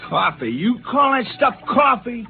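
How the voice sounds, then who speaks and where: Crude scornful spaceman, gravelly voice, swooping pitch, thin old-radio sound. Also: very animated, measured pace, full character voice. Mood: grumbling. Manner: coarse, sneering. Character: crude drunken male crewman, scornful. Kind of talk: 1950s radio acting. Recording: tinny 1950s radio broadcast with faint hiss.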